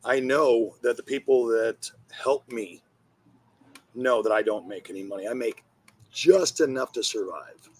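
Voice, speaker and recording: A man giving a personal monologue in a husky voice, blunt and profane, pausing between phrases. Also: mid-range voice. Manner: blunt, profane, halting with pauses. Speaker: comedian and charity founder.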